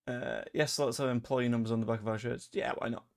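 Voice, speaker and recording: A young man from Leeds with a softened Yorkshire accent, hosting a casual live stream and chatting through viewer comments with rambling, dry asides. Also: mid-range voice, steady tone, fast, gravelly. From Leeds, England. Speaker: fan channel host.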